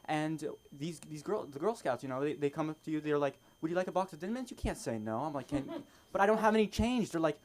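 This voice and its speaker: A man doing observational stand-up in a halting way, repeating phrases and checking in with little tag words. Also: a little high, expressive, a little mellow. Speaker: stand-up comedian. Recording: noisy live open mic room.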